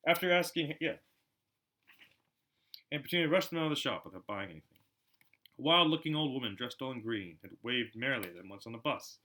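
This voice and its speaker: A man reading a storybook aloud as an amateur narrator, in an expressive style. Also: mid-range voice, fairly quick, long pauses. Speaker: amateur audiobook reader.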